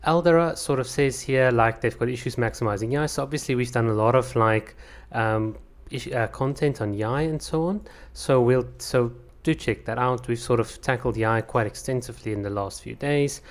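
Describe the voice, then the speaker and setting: A man's voice: mid-range voice, moderate pace. A man hosting his channel, reading out viewer comments and chatting about them casually, with lots of fillers and restarts; English is not his first language. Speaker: channel host.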